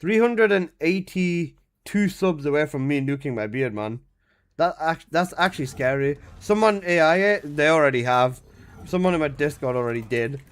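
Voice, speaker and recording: Animated young man streaming. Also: a little high, normal speed, clean tone. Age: 20s. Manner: animated, protests loudly, swears casually. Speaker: game streamer. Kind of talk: casual live-stream chat reading chat messages.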